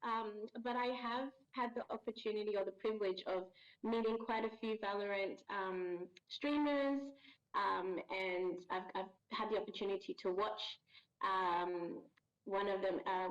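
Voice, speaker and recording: A woman being interviewed as a voice actor, chatty and quick to laugh, with lots of ums. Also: medium pitch, steady tone, muffled recording. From Australia. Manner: warm, chatty, laughs easily, many ums. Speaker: guest voice actor. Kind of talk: casual interview chat.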